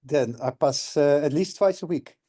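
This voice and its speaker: A man's voice: a little high, normal speed, warm tone. A middle-aged man from the Netherlands, a guest explaining things on a video call and often checking in with "correct?". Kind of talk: casual call conversation.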